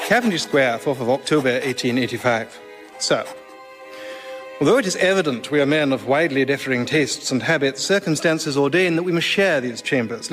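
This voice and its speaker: Man playing fussy Victorian male scientist, slightly raised pitch, pompous formal diction, indignant, radio sketch, some music. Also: fairly bright tone, natural voice. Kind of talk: radio sketch comedy acting.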